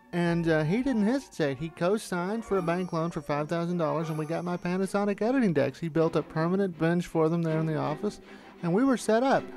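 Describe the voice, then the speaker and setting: A man's voice: on the high side, darkish tone. A middle-aged American man narrating a look back at his amateur filmmaking. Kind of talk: retrospective narration to camera.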